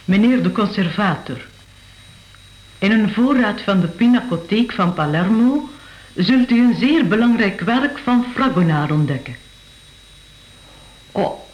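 Radio play role: intense, otherworldly lady, slow theatrical delivery in an echoing room. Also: mid-range voice. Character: mysterious, intense lady of a castle, believer in spirits. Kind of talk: radio drama acting.

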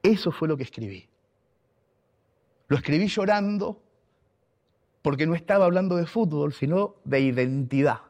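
A man's voice: a little high, lively intonation, moderate pace, pauses often, darkish tone. A man from Argentina with an Argentine accent, telling a personal story in an emotional, emphatic way, repeating words for weight. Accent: Argentine Spanish. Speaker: radio contributor and writer.

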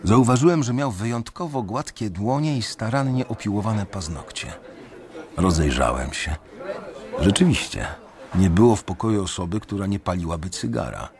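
A man's voice: lowish voice, big pitch swings, normal speed, crowd noise. A man narrating a radio drama in the first person, tense and brooding. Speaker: narrator and lead character.

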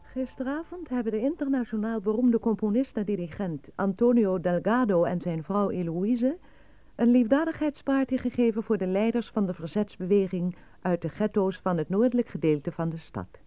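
A woman's voice: medium pitch, normal speed, no room sound. A woman playing a formal radio newsreader delivering a scripted bulletin, heard as through a radio. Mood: formal, neutral. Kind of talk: formal scripted news reading. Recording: dry, narrow-band radio sound.

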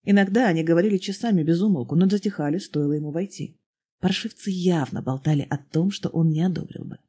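Storybook narrator, mid-pitched, dark mellow tone with some huskiness. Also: quick pace, husky. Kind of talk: scripted narration of fan fiction audiobook.

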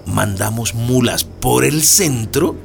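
An actor playing a cocky, scheming drug trafficker with a gravelly Latin American accent in a radio drama. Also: male voice, mid-range voice, lively intonation, takes it slowly, heavily acted. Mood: smug, reassuring. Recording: voice over prominent music.

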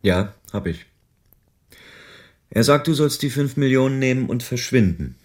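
A man's voice: medium pitch, lively intonation, measured pace, a little mellow, natural voice. An actor playing a sober, world-weary male private detective in a radio crime drama, explaining things patiently. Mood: sober concern.

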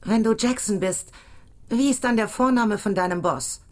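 A woman's voice: fairly high pitch, moderate pace, natural voice. A woman playing a wily young femme fatale in a German radio crime drama, sweet-talking and urgent. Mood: wary.

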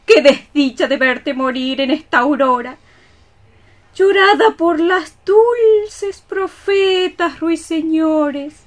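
Very shrill old mother cockroach. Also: slow pace. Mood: pitying, lyrical. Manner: declamatory, melodramatic. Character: fiery grey-haired mother cockroach, protective and melodramatic. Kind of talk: radio theatre acting.

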